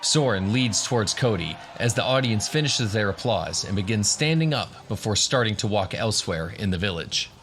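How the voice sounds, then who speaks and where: A man's voice: lowish voice, animated, moderate pace, hardly any pauses, a bit bright, slight background noise. A man narrating a scripted fantasy adventure as the storyteller of an audio drama. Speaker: narrator, game master.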